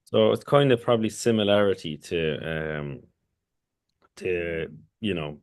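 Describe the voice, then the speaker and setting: Low-voiced man, rambling. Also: a little slow. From Kurdistan, Iraq. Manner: rambling, with many restarts and "you know". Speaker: podcast guest. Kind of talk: casual conversational interview.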